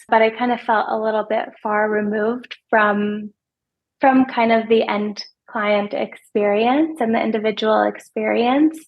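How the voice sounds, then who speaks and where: A soft-spoken woman in her thirties, a financial advisor answering interview questions in a hesitant way, often repeating words as she thinks. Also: on the high side, dull audio. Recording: podcast remote interview.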